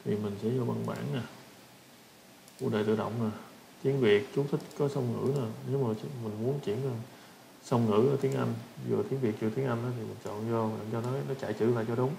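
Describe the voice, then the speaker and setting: Southern Vietnamese man, gravelly, in echoey room. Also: medium pitch, steady tone, normal speed, mellow. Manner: repeats short phrases while demonstrating. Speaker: tutorial instructor. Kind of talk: casual screen-recorded software tutorial. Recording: roomy echo with background noise.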